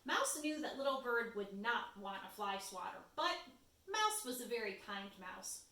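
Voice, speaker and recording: A woman's voice: medium pitch, big pitch swings, measured pace, sharp tone. A woman reading a children's storybook aloud expressively, as a story-time reader. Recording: roomy echo, distant microphone.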